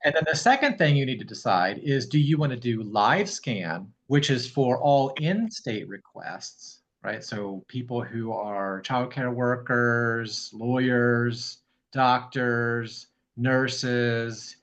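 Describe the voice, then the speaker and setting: A man's voice: mid-range voice, takes it slowly, fairly bright tone. A man giving matter-of-fact, explanatory advice to a caller on a group video call. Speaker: notary and fingerprint roller, co-host.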